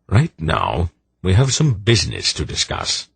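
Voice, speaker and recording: A man voicing a wry, gruff old man who talks dryly and curtly. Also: sits low, huge pitch swings, unhurried, no echo, full character voice.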